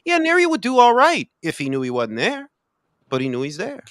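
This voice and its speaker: A man hosting a casual boxing talk show, animated and slangy, calling everyone bro. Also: high-pitched, normal speed, dry recording, studio quality. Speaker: podcast host.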